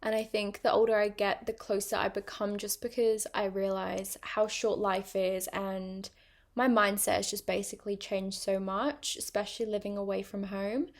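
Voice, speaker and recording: A woman's voice: mid-range voice, flat delivery, moderate pace. A young woman with a partly British accent, chatting casually on her own podcast with plenty of ums and likes. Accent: mixed British and international. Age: young adult. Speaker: podcast host. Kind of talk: casual personal monologue.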